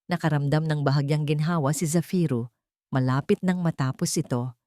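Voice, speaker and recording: Low-voiced Filipino woman narrating a story. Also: fairly even intonation, normal speed, warm tone, dry recording, clean studio sound. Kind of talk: dramatic scripted story narration.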